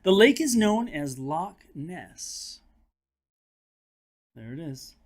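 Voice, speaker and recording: A man reads a children's book aloud as a teacher and host would. His voice sits a little high and swings widely in pitch. The delivery is dramatic and expressive, with conversational asides to young listeners. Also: extremely slow.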